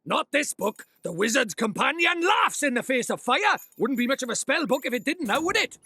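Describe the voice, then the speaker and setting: An actor voicing a small, cheeky, bossy fairy sidekick with a Welsh accent in a fantasy game. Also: male voice, extremely high pitch, big pitch swings, normal speed, sharp tone, husky, exaggerated voice. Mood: bossy, proud. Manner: brash, teasing, colloquial, theatrical. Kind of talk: animated fantasy game voice acting.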